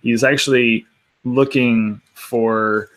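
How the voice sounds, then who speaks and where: A man's voice: mid-range voice, steady tone, slow pace, a bit bright, low-quality recording. A man playing a roleplay game, improvising in a casual, tentative, conversational way.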